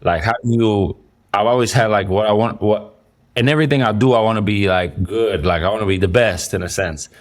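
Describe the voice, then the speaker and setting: A man in his twenties, from the Dominican Republic and raised in Belgium, answering interview questions in a relaxed, rambling way with many fillers. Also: on the low side, brisk. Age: 20s. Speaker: professional basketball player, guest.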